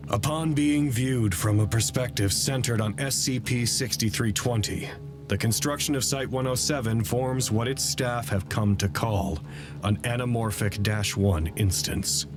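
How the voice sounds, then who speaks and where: An actor playing a measured scientist recording a log in an audio drama, speaking in a deliberate, dry way. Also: male voice, medium pitch, music in the background, close to an everyday voice. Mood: measured, clinical. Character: measured male scientist recording logs about an anomaly. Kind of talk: scripted audio drama narration.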